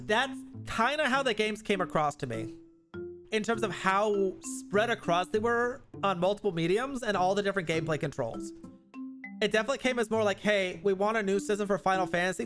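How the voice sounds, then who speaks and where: A man hosting a livestream, giving casual, chatty gaming commentary that rambles and corrects itself, speaking into a close microphone. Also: sits high. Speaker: livestream host. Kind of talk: casual gaming commentary, reviewing and ranking games. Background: background music.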